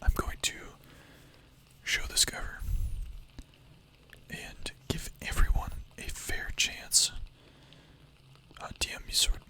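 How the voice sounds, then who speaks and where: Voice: takes it slowly, long pauses, bright, forceful tone. A young adult streamer whispering ASMR-style, chatting casually with chat, full of filler words and the odd swear.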